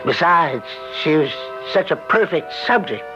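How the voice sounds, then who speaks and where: An actor playing an elderly, secretive blacksmith, bossy and grimly resolute, in old-time radio drama style. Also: male voice, a little high, big pitch swings, a little slow. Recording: dull old radio recording with music.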